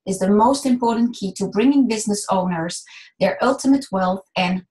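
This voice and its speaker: A woman hosting an interview show, giving a formal, measured introduction and asking questions. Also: mid-range voice, darkish tone, rough recording. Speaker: show host.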